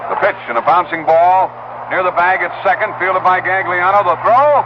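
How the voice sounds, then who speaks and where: A man's voice: high-pitched, normal speed. Male baseball commentator, live radio play-by-play, conversational asides, clipped calls, dull lo-fi vintage sound, heavy background noise. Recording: dull, heavily noisy vintage radio broadcast.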